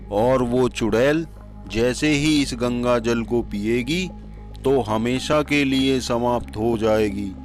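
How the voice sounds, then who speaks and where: A man voicing a calm, fatherly village holy man in a cartoon story. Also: medium pitch, lightly acted. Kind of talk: Hindi cartoon character dialogue.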